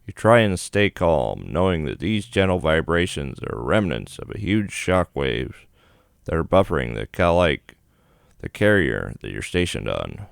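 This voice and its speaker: Very deep-voiced man narrating a game. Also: very animated, unhurried, gravelly, clear, resonant tone, no room sound, clean studio sound. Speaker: gaming video host. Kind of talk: reading visual novel narration aloud.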